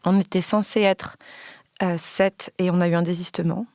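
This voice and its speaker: A woman in her thirties chatting casually on a podcast, self-mocking and quick with asides. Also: lowish voice, steady tone, a little mellow, no room sound. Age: 30s. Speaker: guest, comics artist on a jury.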